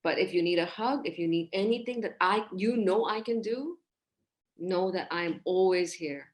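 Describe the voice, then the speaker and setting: Expressive woman from Hong Kong on a video call. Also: on the low side, moderate pace. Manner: warm, expressive, gestures while talking. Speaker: guest, relationship coach. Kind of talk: casual podcast interview over video call. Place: small room indoors.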